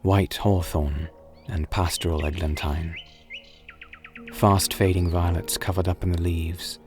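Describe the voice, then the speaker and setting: A man giving a dramatic poetry reading in a solemn, measured, wistful manner, drawing out his phrases. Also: sits low. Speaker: poetry reader and narrator. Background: music and birdsong.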